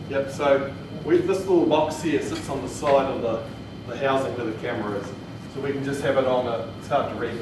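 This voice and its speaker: A man with a New Zealand accent giving an informal technical presentation in a plain-spoken, hesitant way. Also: medium pitch. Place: indoor training room. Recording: echoey room, distant mic, noisy.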